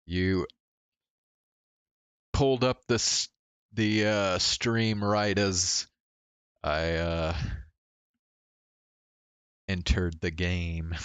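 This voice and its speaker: Male game streamer, low and unhurried, casual hesitant rambling, smooth resonant tone. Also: steady tone, fairly bright tone, dry recording. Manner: rambling, hesitant, thinking aloud. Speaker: game livestreamer. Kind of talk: casual chat while playing a game.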